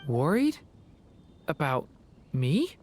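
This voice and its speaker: A woman voicing a gentle, earnest young dragon boy in a video game, with a soft, hesitant delivery. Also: sits low, sing-song, a little hiss or hum, heavily acted. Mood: touched, hesitant. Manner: hesitant, halting.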